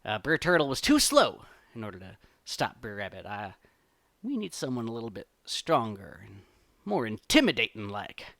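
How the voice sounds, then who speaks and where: Scheming storybook fox, acted out by a man, bossy, exasperated, folksy, emphatic, forceful tone. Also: on the low side, huge pitch swings, normal speed, dry recording, heavily acted. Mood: frustrated.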